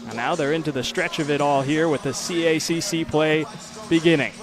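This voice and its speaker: A man calling a live volleyball match, his voice sitting a little high for a man. He moves steadily through lineups and player notes, tripping now and then over names. Music plays clearly in the background. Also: fairly quick. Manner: steady informative delivery with occasional stumbles over names. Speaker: play-by-play announcer. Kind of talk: live sports commentary.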